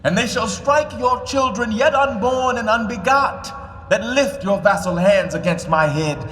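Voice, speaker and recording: Embattled righteous monarch, radio verse drama. Highish, widely varied voice, formal declamation, echoey space. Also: male voice, measured pace, fairly bright tone. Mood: righteous threat. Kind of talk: Shakespearean radio drama acting. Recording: echoey hall reverb, faint noise.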